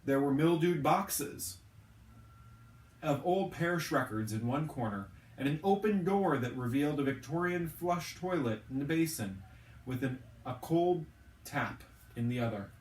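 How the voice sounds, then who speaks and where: A man reading a novel aloud as a storyteller, with expressive narration. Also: fairly high pitch, measured pace. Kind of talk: expressive audiobook-style narration of a children's novel. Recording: roomy, echoing, distant from the mic.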